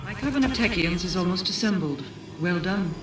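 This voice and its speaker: A woman playing an imperious, wary queen who leads a coven, speaking formally and gravely in a video game cutscene. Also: lowish voice, animated, fairly quick, close to an everyday voice. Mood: commanding, concerned. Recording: echoing, distant voice under music. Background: music.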